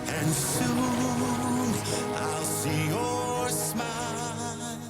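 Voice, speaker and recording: A woman's voice: a little high, steady tone, very slow, loud music behind the voice. A woman performing a tender, soothing lullaby as a protective figure in a video game cutscene.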